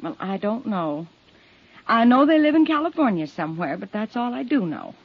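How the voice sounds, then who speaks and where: A woman's voice: on the high side, very animated, normal speed, husky, exaggerated voice. An actress playing a shaken, kindly, flustered apartment manager in 1950s radio drama, hesitant in her answers. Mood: flustered, defensive. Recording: dull 1950s radio recording.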